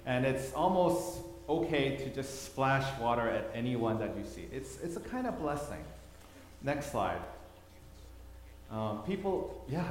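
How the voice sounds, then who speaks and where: A man's voice: mid-range voice, slight background noise. A man from Thailand giving a sermon with slides, telling the story with repeated words for emphasis. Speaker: preacher. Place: church hall. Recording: echoey hall, long reverb.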